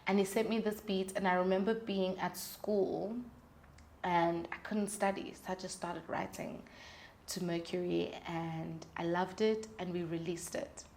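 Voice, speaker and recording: A woman's voice: on the low side, steady tone, unhurried, vocal fry, darkish tone, a little hiss or hum. A young woman speaking candidly and expressively in casual, slangy conversation as an interview guest. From South Africa. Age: young adult. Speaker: guest, singer-songwriter.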